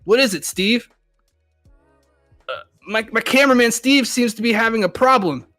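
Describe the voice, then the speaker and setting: A rattled on-the-scene news reporter as a man plays him, speaking high and alarmed. The delivery is a dramatic live disaster report. There is soft music behind. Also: normal speed. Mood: shaken, alarmed.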